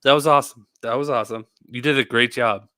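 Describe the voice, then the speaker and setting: A man's voice: mid-range voice, steady tone, fast, pushed and bright, smooth, dry recording, studio quality. A man hosting a live stream, chatting casually with a guest in a playful, encouraging way. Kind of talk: casual chat.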